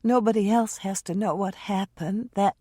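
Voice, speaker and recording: A woman reading an audiobook and voicing a fragile, tearful young woman who speaks softly and pleadingly. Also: medium pitch, moderate pace, no room sound, clean studio sound, pitched above the performer's own voice, lightly acted. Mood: sad, tearful.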